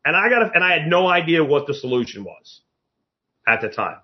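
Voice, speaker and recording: A man's voice: fairly high pitch, very animated, a little fast, lo-fi sound. A man in his fifties giving a blunt, profane motivational talk as a podcast host, lecturing his listeners. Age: 50s.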